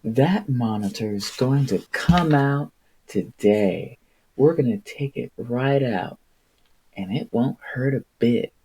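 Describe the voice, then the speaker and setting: A falsely sweet storybook nurse, read by a man, husky and a little raised from his usual low register. She is patronizing and reassuring, as if soothing a small child. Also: expressive, slow, darkish tone, exaggerated voice, low-quality recording.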